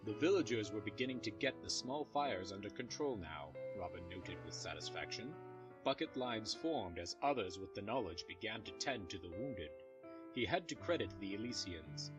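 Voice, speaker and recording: A man's voice: on the low side, expressive, normal speed, music in the background. A man reading scripted third-person fantasy narration as the narrator of an audio drama.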